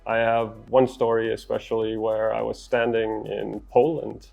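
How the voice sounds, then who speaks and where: A middle-aged man from Denmark with a Danish accent, giving interview answers as a traveller in a measured, articulate, earnest way. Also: fairly low pitch, flat delivery, gravelly, a little mellow, muffled recording, faint music behind.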